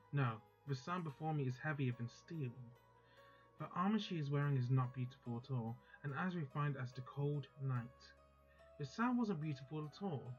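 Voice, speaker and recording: A man's voice: medium pitch, highs cut off. A man hosting a let's play, chatting casually and then reading a visual novel's text aloud.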